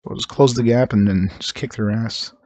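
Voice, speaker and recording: A man's voice: fairly low pitch, fairly even intonation, moderate pace, a little mellow, soft background music. A man giving casual let's-play commentary, slightly nasal and congested as his voice recovers, rambling with chuckles and fillers. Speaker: game streamer.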